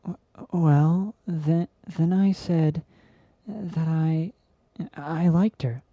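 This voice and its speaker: A woman voicing a shy, gentle young male pegasus pony who hesitates and stammers in a fan-made audio story. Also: low-pitched, extremely slow, dry recording, muffled recording, heavily acted. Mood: flustered. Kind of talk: fan fiction audio drama.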